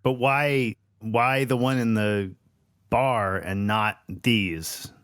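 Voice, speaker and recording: A man's voice: fairly low pitch, drawn-out words, fairly bright tone. A man playing a tabletop roleplaying game, talking casually at the table and sometimes speaking in character.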